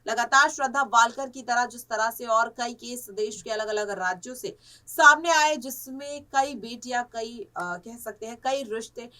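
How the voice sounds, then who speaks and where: High, bright-voiced woman news presenter, distant mic. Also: unhurried. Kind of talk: news commentary, semi-scripted report.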